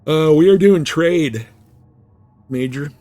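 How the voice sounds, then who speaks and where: A man's voice: fairly high pitch, takes it slowly, dark tone. A man chatting casually with viewers as he live streams a game. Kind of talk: casual chat over gameplay.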